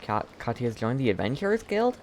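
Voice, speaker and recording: A woman's voice: extremely low pitch, sing-song, fairly quick, a little mellow, a little hiss or hum. A woman streamer giving casual, playful reaction commentary while playing a game. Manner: playful, chatty, teasing.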